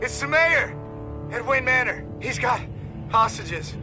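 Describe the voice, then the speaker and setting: A man's voice: very high voice, lively intonation, normal speed, over music. A man playing a frightened police sergeant in a video game, reporting with panicked, breathless urgency. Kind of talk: dramatic video game acting.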